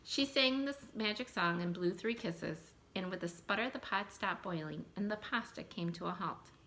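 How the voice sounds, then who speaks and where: A woman's voice: mid-range voice, moderate pace, a little hiss or hum. Woman reading a storybook aloud, expressively. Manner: expressive, asks questions and gasps in mock surprise. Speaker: teacher reading a picture book aloud to children. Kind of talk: animated read-aloud storytelling with asides to young listeners.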